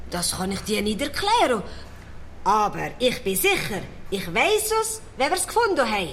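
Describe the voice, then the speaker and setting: A woman voicing a stubborn, excitable little male mountain dwarf in a children's radio play, with a Swiss German accent. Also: a little high, normal speed, a little hiss or hum. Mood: earnest. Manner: exclamatory, stubborn, teasing.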